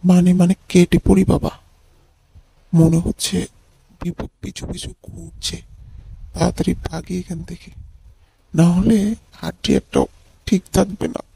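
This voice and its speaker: Man as a husky, creaky old cartoon sugar daddy. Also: high-pitched, flat delivery, unhurried, long pauses, mellow, full character voice, poor audio. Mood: nervous muttering. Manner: indignant, blustering. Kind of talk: amateur comedy cartoon dubbing.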